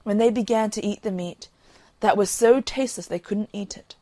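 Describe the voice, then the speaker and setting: A woman reading a fairy tale in an expressive, old-fashioned storybook narration. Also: medium pitch, normal speed, dry recording.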